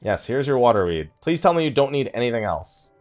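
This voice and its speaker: A man giving casual let's play commentary, rambling and thinking out loud with mild exasperation. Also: medium pitch, big pitch swings, a little fast. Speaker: gaming video host. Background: game music.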